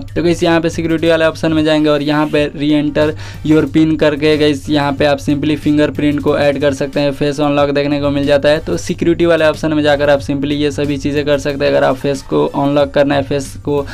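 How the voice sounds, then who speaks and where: Even-toned Indian man, higher-pitched voice. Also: fairly quick, clear, resonant tone, soft background music. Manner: repetitive, instructional, mixes English words into speech. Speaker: tech tutorial host. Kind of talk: casual phone tutorial voice-over.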